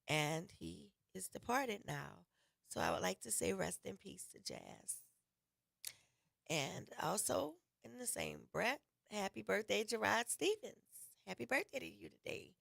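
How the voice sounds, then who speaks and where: A woman hosting a radio cooking show, loud and laughing often, her voice a little gravelly from losing it. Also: medium pitch, unhurried. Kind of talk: casual radio talk show chat.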